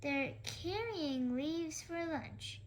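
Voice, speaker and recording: A woman voicing a curious, chatty, excitable little girl pig in a children's storybook read-aloud. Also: high voice, drawn-out words, a little hiss or hum, voice pushed up, heavily acted. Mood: excited.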